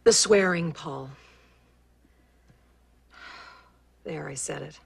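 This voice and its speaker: A woman's voice: sits low, moderate pace, gravelly, slightly rough, heavily acted. An actress playing an obsessive, volatile woman who talks primly, shuns swearing and flips into screaming rage. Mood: prim, coy. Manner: prim and sugary, refuses to swear, snaps into screaming rage. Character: obsessive volatile middle-aged woman fan, prim and menacing. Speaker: film character. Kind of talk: film drama acting.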